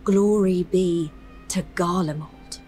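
A woman's voice: lowish voice, fairly even intonation, slow pace, mellow, slightly rough, soft background music. A woman playing a plainspoken, earnest young resistance fighter in a fantasy game. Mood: earnest worry. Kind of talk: scripted game dialogue.

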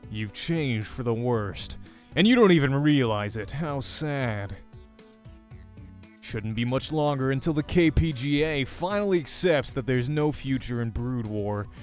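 A man's voice: mid-range voice, lively intonation, a little slow, soft background music, lightly acted. Man playing a smug, sneering young rival gamer. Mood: condescending. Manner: condescending, smug. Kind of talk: visual novel character voice.

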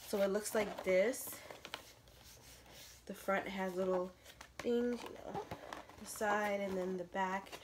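A young woman sounding a little stuffy, talking loosely and rambling, with plenty of ums. She speaks at a middle pitch to a camera set up close in a bedroom. A faint hiss sits under the recording. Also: steady tone, slow, pauses often. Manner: chatty, rambling with many fillers. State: stuffy nose. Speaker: vlogger. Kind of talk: casual unboxing vlog. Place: bedroom with a crib, indoors.

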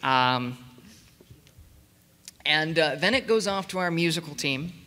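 A man explaining things in a halting, unscripted way as a panelist in a large hall. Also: fairly high pitch, lively intonation, bright, forceful tone. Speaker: panelist explaining audio production. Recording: reverberant hall sound.

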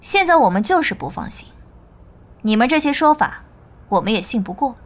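Woman playing a highish-voiced, shrewd investor. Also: big pitch swings, normal speed. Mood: doubtful. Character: skeptical, shrewd female shareholder. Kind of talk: Chinese audio drama acting.

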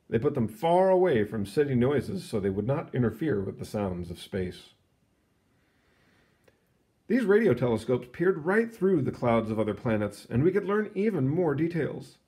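A man narrates a children's science book with expressive, explanatory delivery. His pitch moves around a lot, lively and varied. The tone is mellow and relaxed, with a husky edge. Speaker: read-aloud narrator. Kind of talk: reading a children's science book aloud, with short asides.

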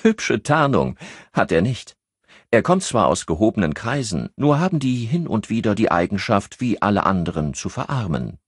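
A man's voice: on the low side, sing-song, moderate pace, husky, no room sound, clean studio sound, pitched above the performer's own voice. A man voicing a flippant, sardonic male police colleague with a cheeky, ironic delivery in an audiobook. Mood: breezy.

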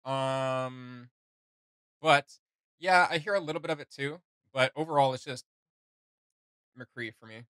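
Bright-voiced young man chatting casually. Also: mid-range voice, a little slow, long pauses, clear, resonant tone, no echo, clean studio sound. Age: young adult. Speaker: reaction video host. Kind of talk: casual reaction chat. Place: small indoor room with gaming setup.

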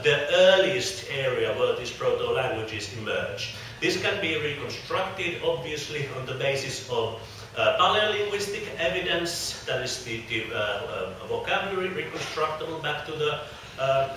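A man's voice: medium pitch, pushed and bright, distant mic. A man whose first language is Finnish giving an academic conference lecture, hesitant with many filler sounds. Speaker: conference speaker. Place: dim lecture hall with projected slides. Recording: roomy hall sound from afar, faint noise.

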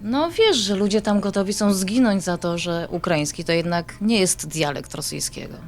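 A woman's voice: mid-range voice, normal speed, close to an everyday voice. A woman playing an affectionate adult granddaughter in an audio drama, talking in a coaxing, familiar way. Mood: persuasive.

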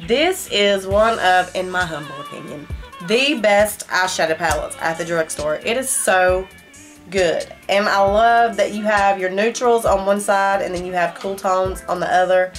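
A woman's voice: medium pitch, unhurried, soft background music. Chatty woman with a stuffy nose. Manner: chatty and emphatic, talking straight to viewers. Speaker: beauty vlogger. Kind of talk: casual product review to camera. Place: small home room. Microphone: camera facing her at desk distance.

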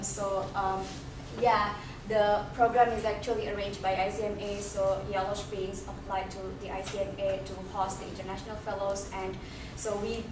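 A young woman from Indonesia giving a community presentation, hesitant with many ums and restarts. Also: mid-range voice. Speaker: presenter, international fellow. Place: community center meeting room. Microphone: far camera. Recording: echoey room from a far camera, noisy.

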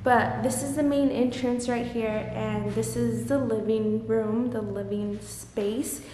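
A woman's voice: on the high side, fairly even intonation, takes it slowly, mellow, slight background noise. Give me a chatty young woman vlogging in a very echoey empty room. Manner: chatty, effusive, talking to a handheld camera. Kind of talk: casual vlog chat. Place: large empty apartment, indoors. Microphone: handheld phone camera at arm's length.

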